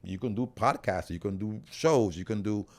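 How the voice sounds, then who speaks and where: A man with a fairly low voice, hosting a conversation. He speaks informally and in an affirming way, like casual chat between people at ease with each other. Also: moderate pace. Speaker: podcast host.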